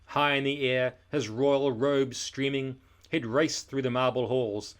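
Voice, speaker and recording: A man narrating a children's storybook aloud in an expressive, scripted style, with dramatic, deliberate emphasis. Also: mid-range voice.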